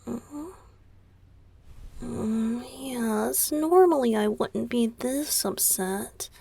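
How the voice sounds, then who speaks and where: Casting: woman as young male idol boyfriend for romantic ASMR audio drama; tender, wistful, slow, breathy whispering with creaky edges. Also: fairly high pitch, exaggerated voice. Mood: hurt, pouty. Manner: soft, hesitant, pleading, confiding.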